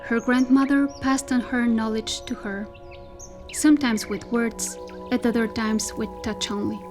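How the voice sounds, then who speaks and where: A woman narrating a dystopian tale as a solemn storyteller, in measured, scripted literary style. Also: on the high side, fairly even intonation, natural voice. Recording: music behind the voice. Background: music.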